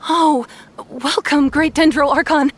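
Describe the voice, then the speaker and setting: A woman playing a gentle, polite young wife and flower shopkeeper in a video game. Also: high voice, fairly even intonation, unhurried, slight background noise. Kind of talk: scripted video game dialogue.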